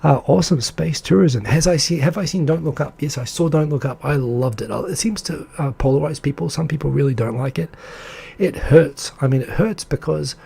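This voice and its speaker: Male live-stream host, dark gravelly tone, chatty and enthusiastic, loose with fillers. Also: a little high, a little fast. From English-speaking country. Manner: rambling and enthusiastic, lots of fillers; reads out and answers viewer comments. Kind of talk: casual live-stream chat.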